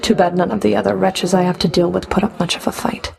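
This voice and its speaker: An actress playing a stern, sardonic underworld Fury overseer in a video game, curt and commanding, with a gravelly, creaky voice. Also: female voice, lowish voice, little pitch movement, fast. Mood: cold, grudging. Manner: curt, dry, commanding, grudging.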